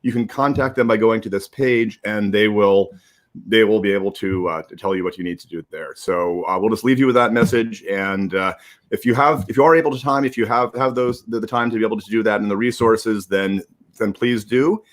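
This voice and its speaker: An American man hosting an informal live broadcast, speaking haltingly and repeating words as he gives announcements. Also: lowish voice. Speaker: host.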